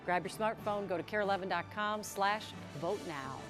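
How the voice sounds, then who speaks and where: A woman's voice: mid-range voice, normal speed, over loud music. A middle-aged American woman presenting the news as a studio anchor.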